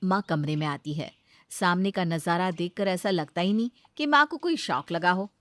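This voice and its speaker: A woman's voice: on the low side, normal speed, a bit bright, no room sound. A woman reading a scripted family story as a dramatizing narrator.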